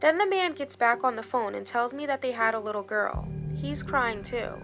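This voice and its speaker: A woman narrating a scripted dramatic first-person story in a measured, expressive storytelling manner. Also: on the high side. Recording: background music under the voice. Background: music.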